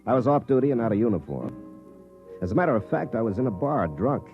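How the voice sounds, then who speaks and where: Man as cynical, boozy veteran captain; lively, mocking delivery, muffled old radio sound. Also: medium pitch, quick pace. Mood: dry, world-weary. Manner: sardonic, mocking. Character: cynical, hard-drinking veteran army captain. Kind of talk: 1950s radio drama acting and narration. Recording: muffled vintage 1950s radio, faint music.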